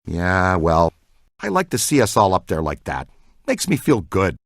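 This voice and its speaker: A man voicing a brisk, wry member of a ghost-catching team in a video game. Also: low voice, wildly swooping pitch, gravelly, no room sound, studio quality, full character voice. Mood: low-key, wry. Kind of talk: video game character lines.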